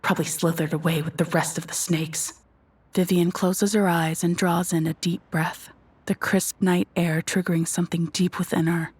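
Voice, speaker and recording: A woman's voice: on the low side, flat delivery, moderate pace, a little mellow, slightly rough, dry recording. A woman reading scripted, dramatic narration for a horror audio drama.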